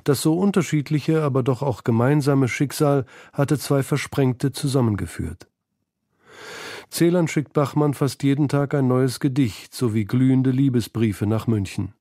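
A man's voice: mid-range voice, measured pace, mellow, dry recording, clean studio sound. A man reading scripted narration for a literary documentary feature.

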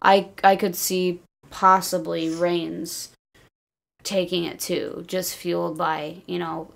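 A woman with a fairly low voice talks casually on a fan podcast. She speaks as a co-host, in an informal, conversational way. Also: level tone, takes it slowly, creaky.

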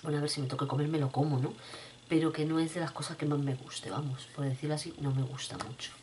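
A middle-aged woman with a cold and a rough throat, chatting casually to her viewers from a room at home, repeating herself as she talks. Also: deep voice, fairly even intonation, fast talker, background noise. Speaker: vlogger. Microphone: webcam at desk.

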